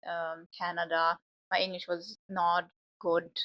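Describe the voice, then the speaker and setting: A woman with a German accent co-hosting a casual podcast chat, encouraging and quick to agree. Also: on the low side, flat delivery, bright, forceful tone, dry recording, rough recording. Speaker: podcast co-host.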